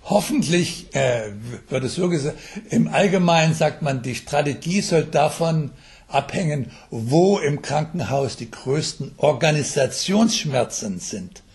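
Older man explaining, fairly high voice. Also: expressive, vocal fry, darkish tone. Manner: halting, with English jargon mixed in. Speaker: mobile health expert being interviewed. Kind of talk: interview answers, explanatory. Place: telephone interview.

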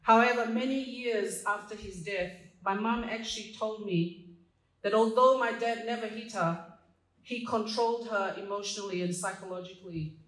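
A woman's voice: medium pitch, moderate pace. A woman in her fifties from Fiji gives a personal speech at a stand microphone, reading from notes with humor and laughing at her own jokes. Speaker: community association president. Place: small dark stage hall. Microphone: stand mic, filmed from a distance. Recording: roomy hall sound.

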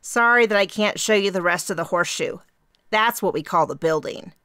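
A woman voicing a bubbly, chatty young woman with a faint Minnesota accent who talks fast in clipped phrases. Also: medium pitch, fairly bright tone, smooth, no echo, studio quality, close to an everyday voice. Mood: cheerful. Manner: fast, clipped, round vowels, bouncy.

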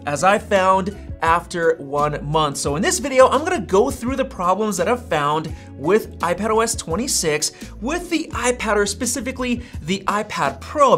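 A man's voice: high-pitched, fairly bright tone. A young man presenting a scripted tech review to camera in an emphatic, opinionated way. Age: young adult. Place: small indoor studio set. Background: background music.

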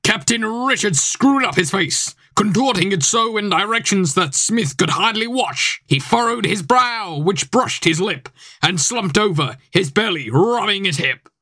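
A man's voice: high-pitched, expressive, unhurried, bright, forceful tone, no echo. A teenage boy narrating a scripted audio play in a theatrical storytelling manner.

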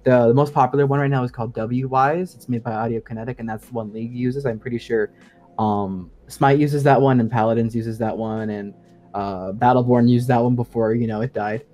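A man's voice: medium pitch, fairly even intonation, a little fast, mellow, slight background noise. A man talking casually and in technical detail as a guest co-host on a gaming podcast, in an informal, hedging way. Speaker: podcast guest co-host explaining game sound design.

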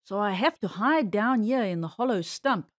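Woman voicing a sly, slow-drawling storybook bear. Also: medium pitch, animated, no room sound, studio quality, lightly acted. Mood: evasive, sly. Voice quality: harsh. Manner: coaxing, drawn-out, boastful. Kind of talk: children's storybook character voice.

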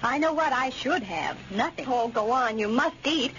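A woman's voice: high-pitched, normal speed, fairly bright tone. A woman playing a chatty, earnest friend in an old radio advertisement, with an American accent. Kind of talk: 1930s radio advertisement acting. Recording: muffled, noisy old radio recording.

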